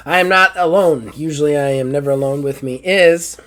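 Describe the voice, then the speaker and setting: A man hosting a casual podcast, hoarse and raspy after an illness, joking and swearing freely. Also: fairly high pitch, a little fast, fairly bright tone. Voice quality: raspy, strained throat. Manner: joking, profane, self-mocking. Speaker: podcast host.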